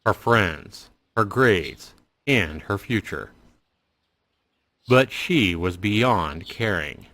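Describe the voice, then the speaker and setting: A man's voice: low-pitched, animated, very slow, a bit bright. A man narrating a story aloud in a dramatic storytelling style, as a host reading to viewers. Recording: music behind the voice. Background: music.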